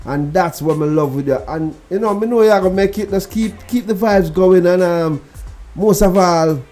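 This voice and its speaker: A man's voice: sits high, moderate pace, a little mellow, soft background music. A man hosting a casual radio interview, bantering in a sing-song patois. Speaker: radio host. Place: radio studio.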